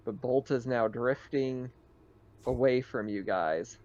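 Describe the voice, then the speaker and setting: A man's voice: mid-range voice, slow pace, darkish tone. Lo-fi male game master, easygoing. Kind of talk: casual tabletop game narration.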